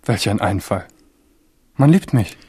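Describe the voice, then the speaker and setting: An actor playing an ardent, proud young Huguenot prince in a German radio drama, impassioned and theatrical. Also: male voice, lowish voice, lively intonation, unhurried, dark tone, slightly rough. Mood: proud, bold.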